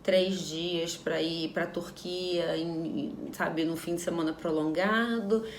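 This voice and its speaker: A woman's voice: on the low side, fairly even intonation, slow, roomy sound, a little hiss or hum. A Brazilian woman in her thirties talking frankly to camera in a casual vlog, repeating phrases for emphasis. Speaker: vlogger.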